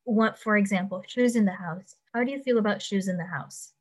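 A woman's voice: medium pitch, brisk. A young woman of Pakistani family raised in the United States, talking candidly and thinking aloud in a casual conversation. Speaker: conversation partner. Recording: muffled, dull sound.

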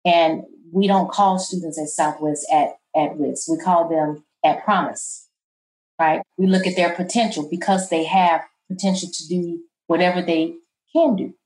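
A middle-aged woman with a soft, low voice, guesting on an interview and talking in a calm, measured way that turns emphatic at times. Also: roomy sound, low-quality recording. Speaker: college president, guest. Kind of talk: interview conversation.

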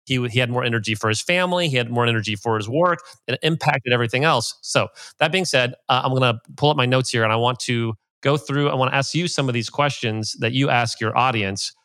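A man's voice: mid-range voice, fast, few pauses, fairly bright tone, dry recording. A man hosting an interview as a coach, chatty and full of filler words as he retells a client story. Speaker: podcast host and coach. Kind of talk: casual interview talk.

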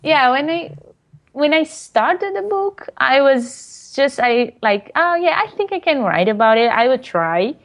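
A woman's voice: high voice, lively intonation, a little slow. A Brazilian woman, a non-native English speaker with a Brazilian Portuguese accent, answering interview questions in a hesitant, casual way with many fillers. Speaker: guest author.

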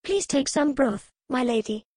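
Woman voicing a worried little girl, pleading, high and pinched, dry close audiobook read. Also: expressive, unhurried, darkish tone, no echo. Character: earnest anxious little girl, about seven.

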